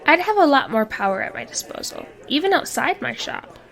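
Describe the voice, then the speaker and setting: A woman's voice: sits high, very animated, a little fast, creaky, a bit bright, exaggerated voice. Woman playing an earnest, compassionate goddess. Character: earnest, compassionate goddess running a magical tattoo shop. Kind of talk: audio drama acting. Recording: crowd chatter behind.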